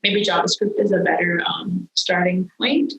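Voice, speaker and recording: A young woman, a software engineer and guest, explaining things in a casual interview with frequent "um" fillers; her home language is Hindi. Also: on the low side, steady tone, moderate pace, roomy sound, poor audio. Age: young adult.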